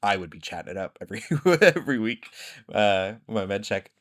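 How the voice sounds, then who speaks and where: A man hosting a podcast, telling rambling, animated stories in casual conversation. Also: on the low side, brisk. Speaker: podcast host.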